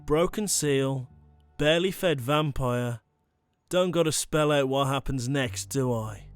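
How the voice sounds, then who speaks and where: Slow-talking, calmly menacing ex-vampire hunter, acted by a man. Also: mid-range voice, clear, resonant tone, dry recording, lightly acted. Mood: calm explaining. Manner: unhurried, coolly conversational, teasing. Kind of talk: ASMR audio roleplay drama. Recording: music bed behind the voice.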